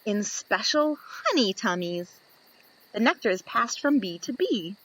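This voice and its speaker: Slow, lively woman reading a picture book. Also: rough recording. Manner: slow, lively reading with high voices for the questions. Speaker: storybook reader.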